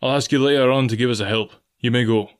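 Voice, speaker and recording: A man's voice: mid-range voice, fairly even intonation, fast talker, smooth, natural voice. A man voicing a firm ship's captain in a storybook, speaking formally and with authority. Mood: curt, polite.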